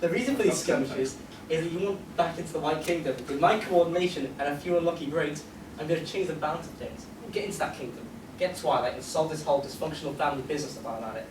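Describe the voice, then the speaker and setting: A confident, scheming pony doctor of firm principle, read by a man, measured and assertive in a radio play. The voice is highish and distant, in a noisy echoing room. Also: close to an everyday voice. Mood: resolute. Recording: echoey convention room, distant noisy mic.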